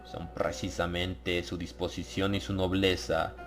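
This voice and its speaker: A man voicing a menacing cult brother in a horror audiobook, with a hard bass, slow solemn delivery and false warmth. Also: flat delivery, music in the background. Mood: ominous reverence.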